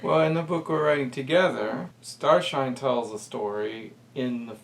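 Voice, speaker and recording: Creaky-voiced man in casual conversation. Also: mid-range voice, a little slow. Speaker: podcast co-host. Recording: distant mic, faint background noise.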